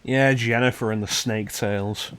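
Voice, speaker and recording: A man's voice: medium pitch, fairly even intonation, a little slow, fairly bright tone, clear, resonant tone. A man giving casual, joking live commentary as he streams a video game, with some swearing. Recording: music behind the voice. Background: video game music.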